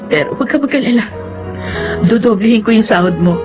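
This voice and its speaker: A woman's voice: fairly high pitch, expressive, fast, highs cut off, lightly acted. A woman acts out a self-excusing Filipino mother in an audio melodrama. She sounds like an everyday adult, dramatic in delivery, with faint music behind her. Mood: pleading. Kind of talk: Filipino radio-style melodrama acting.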